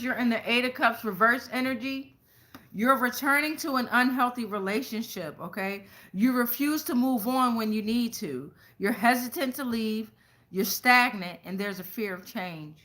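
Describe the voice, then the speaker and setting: A woman's voice: medium pitch, bright, forceful tone. An American woman hosting a casual tarot reading for viewers, speaking in a blunt, slangy way. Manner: blunt, slangy, freely swearing. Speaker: tarot reader and show host.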